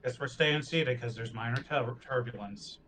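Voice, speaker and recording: A man with an average-pitched voice plays a tabletop game. He chats in a casual, joking way, over a faint background noise. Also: normal speed, bright, forceful tone, low-quality recording.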